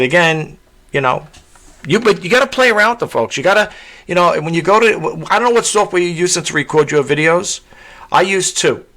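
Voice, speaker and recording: A man with a self-described monotone voice giving a casual, rambling tutorial vlog, full of fillers and restarts. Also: mid-range voice, creaky. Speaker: content creator.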